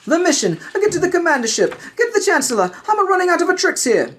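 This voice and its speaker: An excitable little plumber hero, pitched very high in falsetto. He frets and exclaims in a swooping sing-song, like a cartoon character in an audio play. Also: fast, heavily acted. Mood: panicked. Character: excitable Italian-accented plumber Jedi master, falsetto, fretful.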